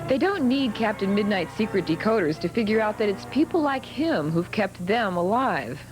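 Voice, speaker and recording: A woman's voice: medium pitch, animated, normal speed, loud music behind the voice. A woman with an American accent reading scripted narration as a television magazine reporter.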